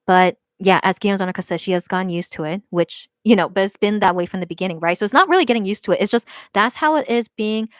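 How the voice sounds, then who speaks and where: A woman hosting a casual livestream, talking straight to her chat at a middle pitch. She sounds earnest and a little careful, restarting her sentences and tagging them with "right?" The room is dry, with no echo. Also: quick pace, few pauses. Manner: hesitant, many restarts and "right?" tags. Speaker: livestream host reading chat.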